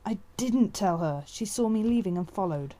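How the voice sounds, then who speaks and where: A woman's voice: medium pitch, lively intonation, normal speed, dark tone, close to an everyday voice. A woman voicing a stern young male warrior cat in an audiobook, firm and impatient. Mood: exasperated.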